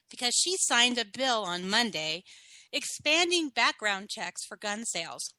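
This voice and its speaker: Sarcastic talk radio woman, bright and dry. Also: on the high side, no room sound, low-quality recording. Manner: sarcastic, combative, asks rhetorical questions. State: froggy from the end of a cold. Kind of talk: opinionated talk radio monologue.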